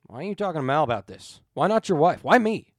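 A man voicing a gruff, suspicious outlaw for an audiobook, blunt and defensive, with incredulous questioning. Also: a little high, very animated, quick pace, no room sound, clean studio sound.

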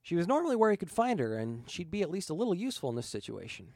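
Guy narrating a fan fiction story, really animated pitch, bit of fry, super dry recording. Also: racing, dry recording. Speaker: fan fiction audiobook reader.

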